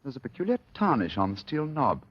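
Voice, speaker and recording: A haughty Victorian gentleman detective speaks with a very lively, swooping delivery. He is dry, pompous and exact, as in a vintage mystery drama. The recording is dull and lo-fi. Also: mid-range voice, fast talker, slightly rough. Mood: keen, self-assured. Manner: dry, pompous, precise and formal. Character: arrogant, sharp-eyed Victorian English gentleman detective. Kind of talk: vintage radio mystery acting. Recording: dull, lo-fi old radio recording.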